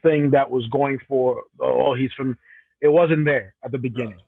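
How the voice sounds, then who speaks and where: A man from Cameroon talks about his wrestling career in a loose, rambling way, often correcting himself mid-sentence. His voice sits at an ordinary pitch for a man. The sound is thin and phone-like, with no room echo at all. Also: steady tone, normal speed, vocal fry, slightly rough. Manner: rambling, many restarts and self-corrections. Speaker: professional wrestler, guest. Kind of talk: casual interview answers.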